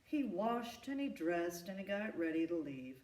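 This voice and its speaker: Woman reading a rhyming kids book out loud, expressive, echoey room, bit far from the mic. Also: lowish voice, normal speed. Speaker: storyteller reading a children's book. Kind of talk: read-aloud rhyming children's story.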